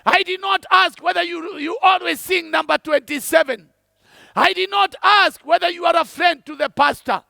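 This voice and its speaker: A man shouts his sermon in a very high, gravelly voice. The tone is bright and forceful, the sound dry and close. He preaches fervently and hammers each point home through repetition. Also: normal speed, no room sound.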